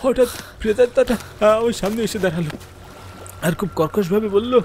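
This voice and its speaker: A man's voice: high-pitched, fairly quick, a little mellow, a little hiss or hum. A man playing a frightened schoolteacher who tells his ghost story in the first person, with dramatic delivery, for a horror cartoon. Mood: terrified. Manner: dramatic, fearful, pleading when in dialogue. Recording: music bed under the voice. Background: music.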